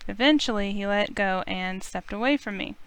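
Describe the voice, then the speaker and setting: Smooth-voiced woman reading story narration. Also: mid-range voice, moderate pace, fairly bright tone, rough recording. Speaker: streamer. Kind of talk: reading visual novel narration aloud.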